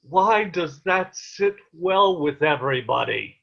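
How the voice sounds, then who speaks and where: A man's voice: a little high, expressive, slow, vocal fry, fairly bright tone, heavily acted. A man playing a stern, protective peasant father in a table read of a period play, with ornate old-fashioned diction, over a video call. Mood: anguished. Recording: dull, lo-fi online call.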